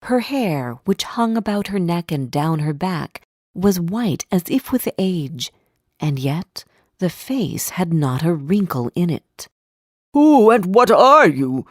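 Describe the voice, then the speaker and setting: Slow, expressive female storybook narrator. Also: on the low side, no echo, studio quality. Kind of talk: scripted storybook narration for English learners.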